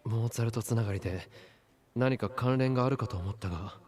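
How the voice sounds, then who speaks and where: A man's voice: medium pitch, rapid-fire, darkish tone, lightly acted. An actor playing a tense, brooding young male protagonist in a Japanese visual novel game. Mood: pensive.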